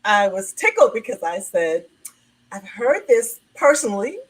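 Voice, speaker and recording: A woman hosting a casual interview, enthusiastic and often pausing with ums while she searches for words. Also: medium pitch, big pitch swings, slightly rough, low-quality recording. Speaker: interview host.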